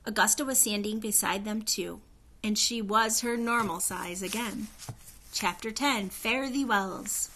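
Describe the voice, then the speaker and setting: A woman reading a children's story aloud, in an expressive narrating style. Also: mid-range voice, measured pace. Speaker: storybook reader.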